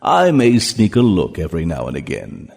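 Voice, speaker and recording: An actor playing a menacing, gloating ruler of Hell in an audio drama, with a pompous, commanding manner. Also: male voice, low voice, wildly swooping pitch, normal speed, gravelly, a little mellow, heavily acted. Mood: cold menace. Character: menacing male ruler of Hell, cold, gloating, all-powerful. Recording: long cavernous reverb.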